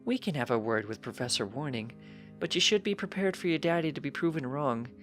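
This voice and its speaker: A narrator puts on a young storybook pony princess in a lifted, high voice. She is friendly, curious and talkative, polite and earnest, with music behind. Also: lively intonation, fairly quick, close to an everyday voice.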